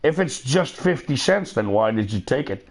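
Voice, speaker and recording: A man's voice: mid-range voice, very animated, normal speed. A middle-aged man chatting casually while gaming, profane and rambling, laughing often. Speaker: streamer.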